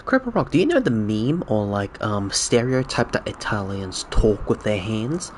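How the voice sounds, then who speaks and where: Guy streaming a game, cantonese first language, kinda low voice, stops and restarts a lot, faint hum behind. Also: big pitch swings. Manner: halting, restarts sentences, chatty questions to chat. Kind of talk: casual chat with viewers while gaming.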